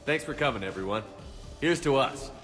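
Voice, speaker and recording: A man's voice: medium pitch, expressive, a little fast, fairly bright tone, natural voice. An actor playing an easygoing male military commander in a video game, talking in a casual, friendly, direct way. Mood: relaxed, hearty. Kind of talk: video game character performance. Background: prominent music.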